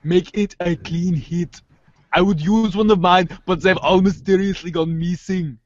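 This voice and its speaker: Man playing a high-pitched sardonic teenage girl. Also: steady tone, unhurried, muffled recording, slight background noise. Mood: dry. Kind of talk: amateur reader's theater of a webcomic.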